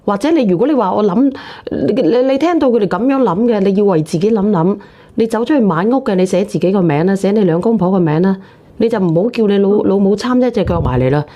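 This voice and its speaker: A woman's voice: medium pitch, expressive, fast, warm tone. A woman with a Hong Kong Cantonese accent hosting an advice phone-in, talking bluntly and telling people what to do. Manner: blunt, directive. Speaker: host of a phone-in advice show. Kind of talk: unscripted relationship advice chat.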